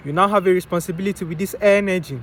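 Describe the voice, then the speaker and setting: Young man, high voice, preachy motivational vlog, rhetorical questions answered himself, outdoors, faint traffic behind. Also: very fast, fairly bright tone. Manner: calls the listener bro and man. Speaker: motivational vlogger. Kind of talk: casual motivational monologue.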